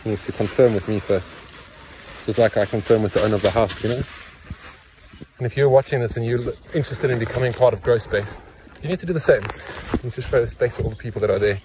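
A man's voice: mid-range voice, fast, noisy background. A man filming himself on a handheld phone outdoors, talking bluntly and matter-of-factly while he works. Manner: blunt, opinionated, matter-of-fact. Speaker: farmer vlogger. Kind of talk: casual vlog narration while working. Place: outdoors in a yard by a pond. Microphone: phone camera held in hand, point of view.

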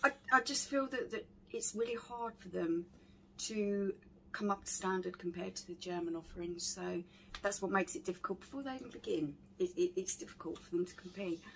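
A woman's voice: mid-range voice, brisk. Woman in casual chat, noisy background. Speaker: beer reviewer. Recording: clearly audible background noise.

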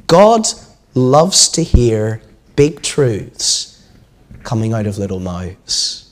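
A firm, assured teacher from a children's storybook, voiced by a man. He speaks with measured emphasis and a very lively pitch range. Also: full character voice.

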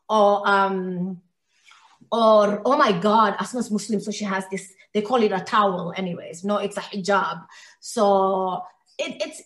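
A woman from Somalia, raised in Kenya, talks as a podcast guest. She speaks earnestly and stresses her points by repeating them. Her voice sits at a middle pitch and carries a noticeable room echo. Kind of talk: heartfelt conversational interview.

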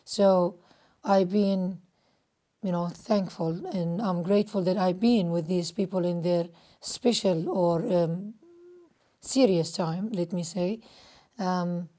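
A middle-aged woman from Jordan with a Jordanian Arabic accent, telling a reflective story in a documentary interview in a living room, pausing with fillers. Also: fairly low pitch, dark tone.

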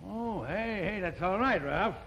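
An actor playing an easygoing, wisecracking sidekick in a 1950s sitcom. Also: male voice, high-pitched, lively intonation, a little slow, gravelly, fairly bright tone, muffled recording, slight background noise, exaggerated voice. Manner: laid-back wisecracking. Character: easygoing, goofy sidekick neighbor.

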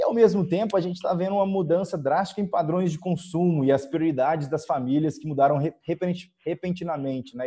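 A man's voice: on the high side, warm tone, husky. A Brazilian man in his thirties moderating an online panel conversationally, speaking from a room at home on a video call.

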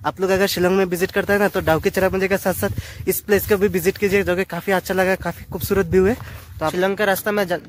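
A young man in his twenties vlogging on a phone on a selfie stick, chatting excitedly to viewers on windy hilltops and a swaying bridge. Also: sits high, quick pace. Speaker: travel vlogger. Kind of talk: casual travel vlog narration. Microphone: phone camera on a selfie stick. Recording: clear wind noise outdoors.

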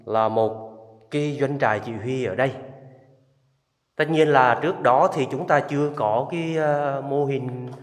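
A man's voice: mid-range voice, unhurried. A man giving an enthusiastic guided tour to viewers, inside a limestone cave. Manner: addresses viewers often, enthusiastic. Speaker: travel vlogger guiding viewers. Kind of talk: casual guided tour narration. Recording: echoey cave with long reverb.

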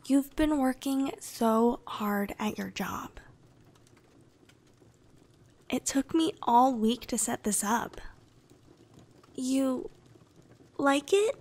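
Casting: woman to play coy young anime girlfriend, high soft voice, very slow delivery, breathy, soothing ASMR roleplay. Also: expressive, heavily acted. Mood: tender, cozy. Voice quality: soft, breathy, often whispered. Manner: slow, gentle, intimate, coy. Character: shy, affectionate young anime-style girlfriend, clingy and bashful.

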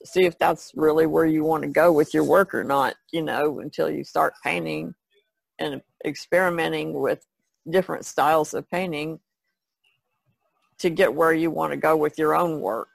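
A woman's voice: sits low, fairly even intonation, moderate pace, gravelly, no echo, low-quality recording. A woman on a podcast panel, chatting casually. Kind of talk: casual chat about art.

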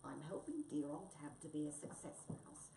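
A woman's voice: sits low, steady tone, moderate pace. A woman voicing a well-meaning, bossy and affectionate wombat in a storybook, eager and emphatic. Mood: eager, helpful. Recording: roomy, distant mic, faint noise.

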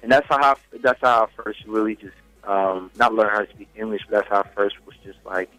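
A man's voice: fairly low pitch, fairly quick, fairly bright tone, no echo, soft background music, poor audio. Laid-back Swedish-born young man. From Sweden. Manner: laid-back, many fillers like "you know". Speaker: singer guest. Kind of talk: casual interview chat.

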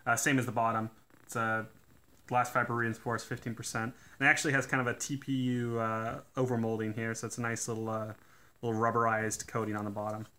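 A man doing a casual hands-on teardown at a workbench, talking in a relaxed way with lots of "uh" fillers. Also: medium pitch, fairly quick. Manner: relaxed, many "uh" fillers, technical detail. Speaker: DIY tool reviewer. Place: indoors at a workbench.

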